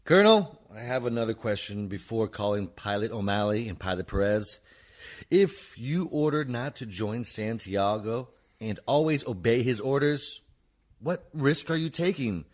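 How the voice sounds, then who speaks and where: Man acting an insistent lawyer, swinging pitch. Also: expressive, moderate pace, natural voice. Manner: pressing, interrogating, emphatic. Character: insistent young courtroom lawyer pressing a colonel. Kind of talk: radio comedy courtroom parody.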